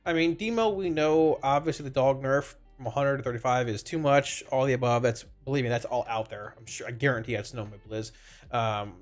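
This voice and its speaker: A man with a congested, slightly raspy voice from a cold, giving casual, rambling, explanatory commentary on a video game to his viewers. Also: medium pitch. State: congested, under the weather with a cold. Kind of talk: informal gaming feedback commentary to viewers. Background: background music.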